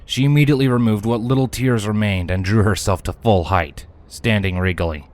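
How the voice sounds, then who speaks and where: A man reading scripted dramatic story narration in a solemn, deliberate manner. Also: fairly low pitch, darkish tone.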